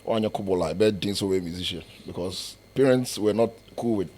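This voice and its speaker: Ghanaian interviewer guy, lowish voice, chill, asks short questions. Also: fairly quick, darkish tone. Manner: laid-back, prompts with short questions and murmured okays. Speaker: interviewer host. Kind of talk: casual interview.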